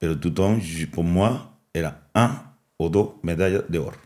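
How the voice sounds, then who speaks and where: Deep-voiced Cuban-accented man, halting. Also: darkish tone. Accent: Cuban Spanish. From Cuba. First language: Spanish. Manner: halting, with restarts and repeated words. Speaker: national boxing coach. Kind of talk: interview, mixing French and Spanish.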